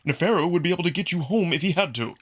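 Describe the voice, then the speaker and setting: A man voicing a proud, earnest wolf alien in a visual novel drama, formal and emphatic, quick to defend himself. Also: fairly high pitch, a bit bright, husky, voice pushed up. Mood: earnest, reassuring.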